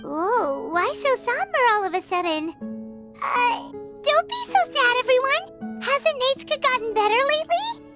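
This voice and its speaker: Tiny childlike fairy sidekick from a game, super high squeaky voice, bouncy and nosy, loud music behind. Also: female voice, sing-song, unhurried, heavily acted. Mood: concerned. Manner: squeaky, talks of self in third person. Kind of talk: video game voice acting.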